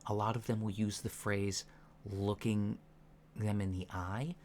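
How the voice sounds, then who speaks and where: Slow, soft-spoken man with a lowish voice. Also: level tone. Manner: measured, hesitant, explanatory, often pausing mid-sentence. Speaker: ASMR roleplay performer playing a training instructor. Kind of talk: soft-spoken ASMR instructional roleplay. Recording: lo-fi with faint background noise.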